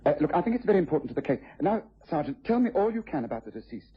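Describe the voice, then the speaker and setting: A shrewd gentleman detective with an English accent, talking quickly in a very husky voice. He is precise, courteous and in command, in an old radio drama with muffled sound. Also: a little high, big pitch swings, full character voice. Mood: inquisitive, brisk. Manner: precise, courteous, authoritative, questioning. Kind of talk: vintage radio drama acting.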